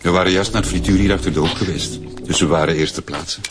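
A man's voice: sits low, steady tone, fast talker, lightly acted. A man playing a matter-of-fact ambulance worker. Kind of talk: radio drama acting. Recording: music under dialogue.

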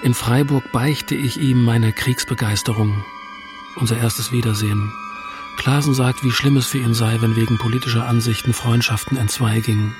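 An actor playing a self-doubting writer in a radio drama, recounting things in a reflective, confessional way. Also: male voice, mid-range voice, fairly even intonation, normal speed, music in the background, natural voice. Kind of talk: radio drama narration and dialogue.

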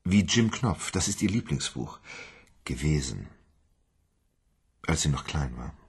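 A man's voice: extremely low pitch, very animated, unhurried, breathy edge, exaggerated voice. A dreamy, boyish young man. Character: shy, childlike young man in love. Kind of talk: radio drama acting.

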